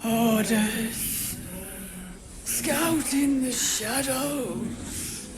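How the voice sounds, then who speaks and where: A lifeless dead woman in a fantasy game answers in broken fragments. Her voice is slightly high and extremely slow, set in noisy ambience. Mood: lifeless, halting. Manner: halting, fragmented short phrases. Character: female corpse raised to answer questions, lifeless. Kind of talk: video game voice acting.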